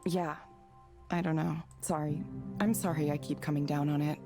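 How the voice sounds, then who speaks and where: A woman's voice: deep voice, steady tone, normal speed, music in the background. An actress playing a withdrawn, self-doubting woman in a visual novel, speaking in a hesitant, subdued and apologetic manner. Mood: subdued, doubtful. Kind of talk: naturalistic visual novel voice acting.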